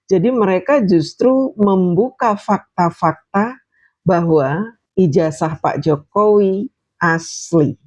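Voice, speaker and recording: A man's voice: high-pitched, slow, dry recording. A man giving scornful political commentary over video clips, mocking his opponents.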